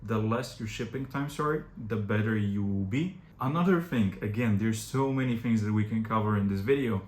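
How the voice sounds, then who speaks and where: A young man from Bulgaria whose first language is Bulgarian, speaking in English as an agency founder who gives direct, practical advice to camera and often pauses on "uh". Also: fairly low pitch, a little mellow. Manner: direct, advisory, frequent "uh" fillers. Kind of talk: informal explainer to camera. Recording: echoey room with faint background noise.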